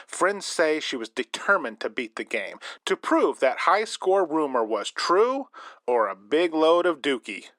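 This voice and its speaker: A man's voice: on the high side, sing-song, unhurried, a bit bright, no echo. An actor playing a male radio host narrating a spooky story in scripted style. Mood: storytelling.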